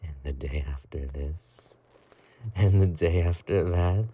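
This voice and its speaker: A man playing an obsessive, possessive boyfriend in a romantic roleplay audio drama, doting yet menacing, with a breathy, intimate, cooing delivery. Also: sits low, takes it slowly, warm tone, full character voice. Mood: unsettlingly sweet.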